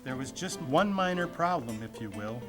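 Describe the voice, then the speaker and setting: A man's voice: medium pitch, animated, normal speed. A man reading a rhyming children's story aloud, with music playing behind him. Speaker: storybook reader.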